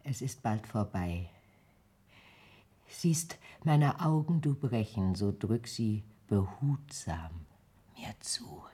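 An actress playing a frail, dying old mother in a radio play, speaking in breathy, faltering whispers. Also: extremely low pitch, lively intonation, slow, warm tone, exaggerated voice. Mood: weary. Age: older. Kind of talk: German radio play acting.

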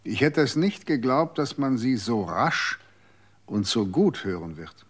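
An actor in a radio drama playing a calm, aloof former guardian of the dead who speaks curtly. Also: male voice, medium pitch, measured pace, husky. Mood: cold, weary. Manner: calm, curt.

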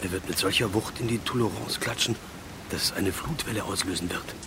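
An actor playing a grumbling, wry old soldier with a big appetite in a German radio play. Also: male voice, low-pitched, a little fast, noisy background. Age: older. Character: old soldier, hungry, grumbling, gloomy male travel companion.

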